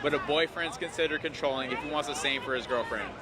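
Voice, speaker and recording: A man's voice: medium pitch, fast. A man asking provocative questions as a street interviewer outdoors. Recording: street crowd and traffic noise.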